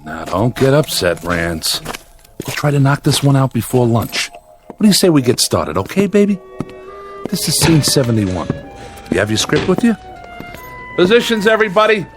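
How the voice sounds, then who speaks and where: A man acting a harried television director in an audio drama. His mid-pitched voice moves a lot, coaxing yet wearily sarcastic, over clear music. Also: big pitch swings, moderate pace, long pauses, lightly acted. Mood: weary, placating. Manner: weary, coaxing, dryly sarcastic. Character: exasperated, placating male TV director.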